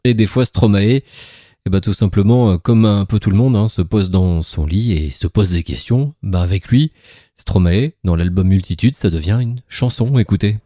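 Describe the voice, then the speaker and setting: A man's voice: on the low side, moderate pace, warm tone, dry recording, studio quality. A man presenting on radio in a conversational, explanatory way, speaking directly to the listeners. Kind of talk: conversational radio presentation linking interview clips.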